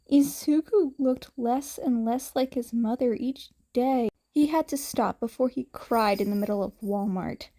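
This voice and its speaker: A woman's voice: on the high side, mellow. A woman reading scripted fiction aloud as a story narrator. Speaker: fanfiction podfic narrator.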